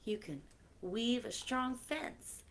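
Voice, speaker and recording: A woman's voice: a little high, takes it slowly. A woman hosting a children's library story time, reading picture books aloud expressively with chatty asides, on a noisy live-stream recording.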